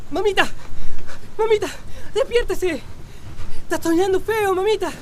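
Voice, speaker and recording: A woman's voice: very high-pitched, a little slow, darkish tone, a little hiss or hum. A woman playing a frightened young son in a radio drama, pleading urgently. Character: frightened little boy, pleading second son.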